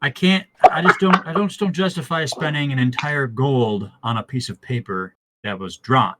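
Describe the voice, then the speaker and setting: A man's voice: medium pitch, normal speed, heavy background noise. A man playing in a tabletop game, arguing and haggling in casual chat. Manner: argumentative, haggling. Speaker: player.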